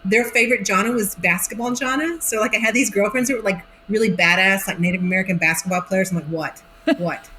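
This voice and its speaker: An actress guest from Oklahoma, speaking in a casual interview with a faint Oklahoma accent and telling stories with lively acted-out quotes. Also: female voice, mid-range voice, quick pace, slight background noise, low-quality recording. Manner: animated storytelling, acts out quotes.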